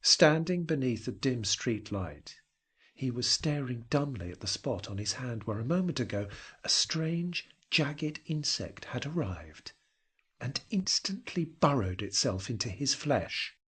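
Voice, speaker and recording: Husky male horror story narrator. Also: mid-range voice, big pitch swings, a little slow, a bit bright. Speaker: narrator reading a short story. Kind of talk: dramatic scripted horror narration.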